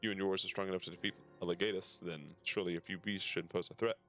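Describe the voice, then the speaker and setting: A man with a low-pitched voice reads story dialogue from a game aloud for a stream. He drops in casual comments and sometimes trips over the text. Music plays behind him. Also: fairly even intonation, fast, a bit bright. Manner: stumbles over text while reading aloud.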